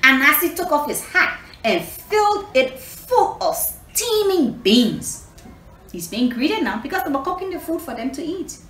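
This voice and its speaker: A woman reading a children's storybook aloud expressively, pausing to explain and put questions to her young listeners. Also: high voice, sing-song, fairly bright tone. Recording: echoey room, distant mic, faint noise.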